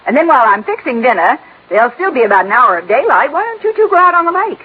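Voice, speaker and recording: An actress playing a gracious, chatty, wealthy American wife and hostess in a mid-century radio drama. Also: female voice, high voice, big pitch swings, fast, slightly rough, full character voice. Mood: bright, inviting. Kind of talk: 1950s-60s American radio drama acting. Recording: thin, muffled old radio recording.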